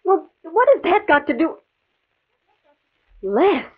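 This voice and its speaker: A woman's voice: extremely high pitch, big pitch swings, slow pace, exaggerated voice. A woman playing an anxious, conscientious confidante in a 1950s radio drama, earnest and urgent. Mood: alarmed, pleading. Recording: tinny, band-limited old radio recording.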